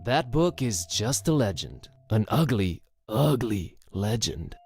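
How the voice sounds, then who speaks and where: A man playing an easygoing, slangy young student librarian in an animated video game, casual and wryly dismissive. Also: on the low side, slow, husky, soft background music, full character voice. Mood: dry, dismissive.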